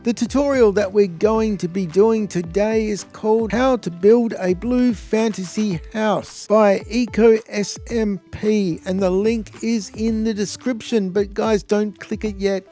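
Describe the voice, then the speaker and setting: A man with an Australian accent and a notably high voice narrates a gameplay tutorial. His delivery is informal and blokey, with a joking streak. Soft music plays underneath. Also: fairly even intonation, unhurried, few pauses. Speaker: video host.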